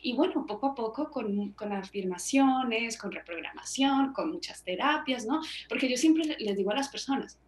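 A woman in her thirties speaks earnestly and fairly high, talking with her hands. She is on a video call through earbuds from a small room at home, and the sound rings with room echo. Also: animated, normal speed, few pauses. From Colombia. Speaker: author and guest. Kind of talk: reflective interview answers. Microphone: wireless earbuds on a video call.

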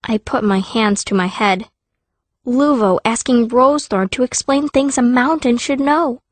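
Young girl mage, blunt, fierce, higher pitch, dry audiobook narration. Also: fairly even intonation, measured pace, dry recording. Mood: narrating. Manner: blunt, youthful. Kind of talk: first-person audiobook narration.

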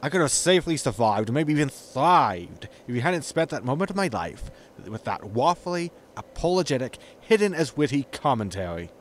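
A man plays a weary spaceship captain in a sci-fi audio comedy. His medium-pitched voice swings a lot as he scolds with dry sarcasm. There is faint noise and ambience behind him. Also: big pitch swings, moderate pace, few pauses, lightly acted. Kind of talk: sci-fi sitcom audio drama acting.

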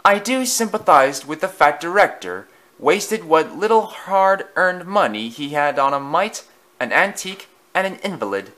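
A man's voice: on the high side, expressive, unhurried, pushed and bright, voice pushed up. A man voicing a pompous, boastful big male steam engine who talks in a grand, haughty way.

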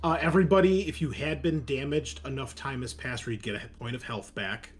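A man's voice: medium pitch, brisk. A man hosting a tabletop game as game master, chatting casually in a blunt, joking way.

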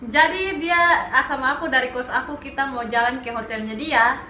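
High, bright-voiced young woman vlogger. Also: normal speed, reverberant, slight background noise. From Indonesia. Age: young adult. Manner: animated, laughing, playful storytelling. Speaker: vlog host. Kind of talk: casual chat. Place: small bedroom, indoors.

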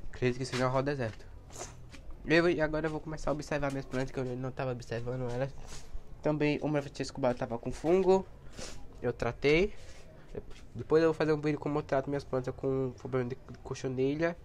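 A young man with a cold and a hoarse voice, chatting casually as he films his plants outdoors on a handheld phone. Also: mid-range voice, steady tone, fast, a little hiss or hum. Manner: chatty, points things out to viewers. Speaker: plant vlogger. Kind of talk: casual walkthrough vlog. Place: outdoor backyard garden area. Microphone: handheld phone camera.

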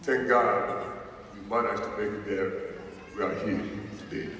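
Deep-voiced accented man echoing through an arena. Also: fairly even intonation, a bit bright. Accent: foreign accent. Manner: slow, emphatic, preacher-like delivery. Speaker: motivational speaker. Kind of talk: inspirational stage speech. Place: large indoor arena. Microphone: headset or stage mic heard over PA from the crowd. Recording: echoey arena PA recorded from far seats.

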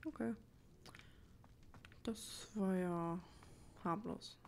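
A woman's voice: mid-range voice, extremely slow, gravelly, darkish tone, slight background noise. A woman giving casual gameplay commentary, musing to herself in an informal way. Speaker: let's player. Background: game music.

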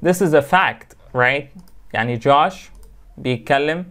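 Young Egyptian man, Egyptian accent, English instructor on webcam, brisk delivery with slow, repeated example sentences, smooth voice. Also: on the high side, fairly bright tone. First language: Arabic. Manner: explains and repeats example sentences slowly, fast asides. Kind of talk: online grammar lesson, mixing Arabic and English. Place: small room indoors.

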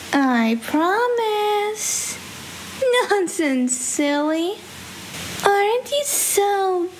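A woman's voice: very high voice, full character voice. A young woman voicing a manically cheerful, deranged female cartoon villain creature with a gleeful, sinister, coaxing delivery. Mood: playful menace. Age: young adult. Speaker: amateur voice actor. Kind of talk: theatrical character voice lines. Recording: faint hiss, home recording.

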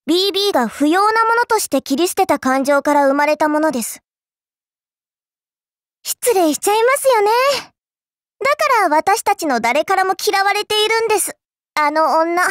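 Very high-pitched, sly little fairy girl. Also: no echo, studio quality. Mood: coolly composed. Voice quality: cutesy, girlish. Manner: polite, sweetly teasing, faintly condescending. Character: childlike, cute, sly fairy-like girl, politely mischievous. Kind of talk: Japanese mobile game character voice lines.

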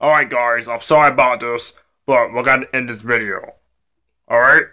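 A man's voice: mid-range voice, steady tone, normal speed, sharp tone, lo-fi sound, pitched below the performer's own voice, lightly acted. A man voicing a stern, weary dad in an amateur skit, admonishing his rowdy child in a repetitive, subdued way. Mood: calm, resigned.

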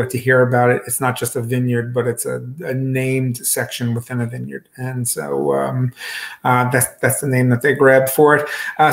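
A middle-aged man hosting a wine club talk over a video call from his kitchen, speaking casually with many fillers and restarts. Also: medium pitch. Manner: halting, many fillers and restarts, gestures with hands. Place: home kitchen. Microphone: video call webcam.

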